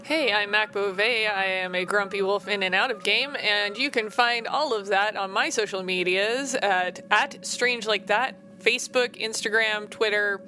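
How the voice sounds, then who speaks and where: A woman's voice: medium pitch, moderate pace, few pauses, bright, forceful tone, a little hiss or hum. A woman, a role-play show player, giving a casual sign-off to listeners.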